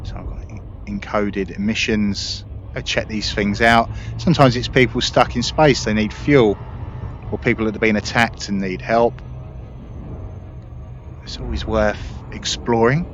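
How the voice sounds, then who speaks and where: A man's voice: fairly low pitch, steady tone, a little slow. A man giving casual, explanatory commentary over his video game play. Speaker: gaming video creator. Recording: dull audio, faint game noise. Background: spaceship game ambience.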